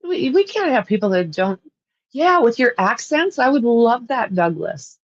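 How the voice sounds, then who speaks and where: A woman's voice: on the high side, very animated, measured pace, dry recording. Chatty Canadian woman, slightly muffled. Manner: rambling, chatty, laughs often. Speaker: livestream host. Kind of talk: casual chat with live viewers.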